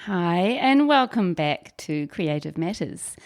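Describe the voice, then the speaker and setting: A woman's voice: lowish voice, sing-song, slow pace. A woman hosting a podcast, reading a scripted intro and then chatting in an encouraging, friendly way with her guest. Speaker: podcast host. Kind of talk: scripted intro then casual interview chat.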